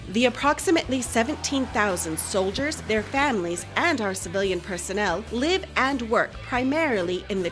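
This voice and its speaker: A woman reading a scripted official promotional narration in a formal, measured announcer manner. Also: mid-range voice. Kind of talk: scripted corporate military video narration. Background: music bed.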